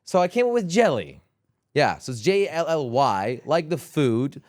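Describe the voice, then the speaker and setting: A Dutch man in his thirties, a gaming creator chatting casually as a podcast guest, relaxed with lots of fillers. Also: fairly high pitch, normal speed, no echo, studio quality. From southern Netherlands.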